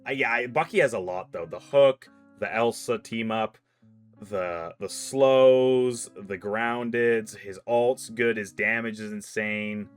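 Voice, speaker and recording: A man's voice: on the low side, takes it slowly, clear, resonant tone, faint music behind. A man in his late twenties hosting a casual gaming chat, asking questions and repeating his phrases. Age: late 20s. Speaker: host leading a tier list discussion.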